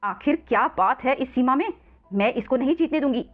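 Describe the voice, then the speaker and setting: A woman's voice: high voice, animated, brisk. A woman voicing a jealous, scheming, dramatic younger sister in a children's story, through a narrow phone-like recording.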